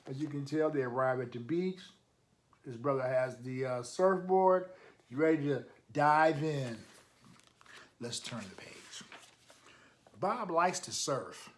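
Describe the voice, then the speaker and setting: A man's voice: medium pitch, animated, slow, long pauses. A man reading a picture book aloud for children, adding encouraging, emphatic asides and putting questions to his listeners. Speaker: storytime reader, coach.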